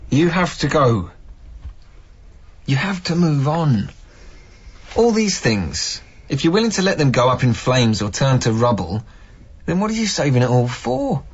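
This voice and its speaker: An actor playing an earnest, sympathetic young man in a naturalistic radio drama, soft-spoken and sincere. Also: mid-range voice, very animated, unhurried, pauses often, slightly rough, muffled recording. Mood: urgent, pleading. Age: young adult.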